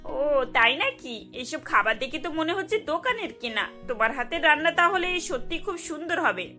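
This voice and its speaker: A woman's voice: sits high, very animated, normal speed, sharp tone, soft background music, heavily acted. A woman voicing a practical, probing middle-aged mother-in-law in a cartoon. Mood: pleased. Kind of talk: cartoon acting.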